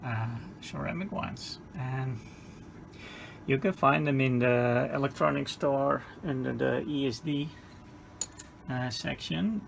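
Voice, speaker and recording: A man giving a casual hands-on explanation in a halting, hesitant, matter-of-fact way. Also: mid-range voice. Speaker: hobbyist presenter of radio repair. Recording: clear background noise.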